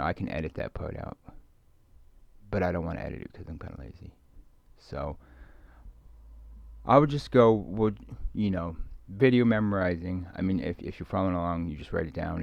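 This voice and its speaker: A middle-aged man giving a rambling, musing ASMR talk, halting and repeating himself as his thoughts meander and trail off. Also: low voice, creaky, a little mellow, no room sound. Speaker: ASMR video creator. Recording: muffled lo-fi close microphone, dry.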